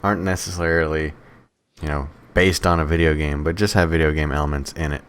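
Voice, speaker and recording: Man, deep pitch, podcast co-host, casual chat, blunt, opinionated, profane. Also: steady tone, a little fast, fairly bright tone, smooth.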